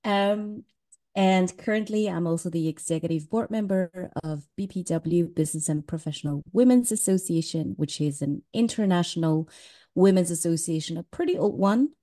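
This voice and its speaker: A woman's voice: on the low side, moderate pace, dark tone. A woman raised in Switzerland, talking candidly as a podcast guest and laughing easily. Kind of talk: casual interview talk.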